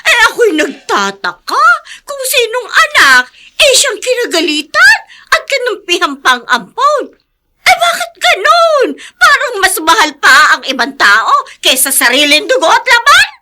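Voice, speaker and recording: An actress playing a meddling, scheming older neighbor with an excitable, insinuating manner in a Filipino radio drama. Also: female voice, very high-pitched, unhurried, heavy background noise, exaggerated voice. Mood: indignant, agitated.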